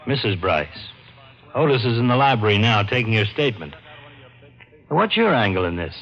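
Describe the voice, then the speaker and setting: An actor playing a weary, no-nonsense police lieutenant with a worn-out voice in an old radio crime drama. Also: male voice, fairly low pitch, big pitch swings, normal speed, a bit bright. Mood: businesslike. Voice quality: worn-out sounding. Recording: muffled, slightly noisy old radio recording.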